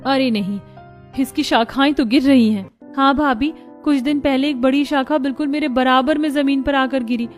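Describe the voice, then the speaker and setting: Worried young wife voiced by a woman, family cartoon melodrama, higher register, earnest, light music bed. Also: normal speed, close to an everyday voice. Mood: alarmed. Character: worried daughters-in-law of struggling cartoon family.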